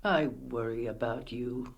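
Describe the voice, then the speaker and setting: A woman's voice: very deep voice, measured pace, vocal fry, heavily acted, poor audio. An actress playing a worn, tender, worried old village mother in a radio play, with a coaxing, motherly manner.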